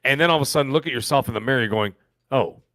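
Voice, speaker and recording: A man in his fifties talks quickly through a casual podcast chat, rambling from one joking story to the next. His voice sits at an ordinary pitch, and the sound is dry, close and free of room echo. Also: a bit bright. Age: 50s. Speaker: podcast co-host.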